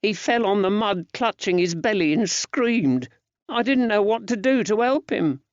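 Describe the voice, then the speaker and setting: Man voicing a high-pitched, frightened young lad. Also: animated, a little slow, no room sound, dull audio. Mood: upset, fearful. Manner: rustic old-fashioned working-class dialect. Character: frightened, grieving young working-class lad.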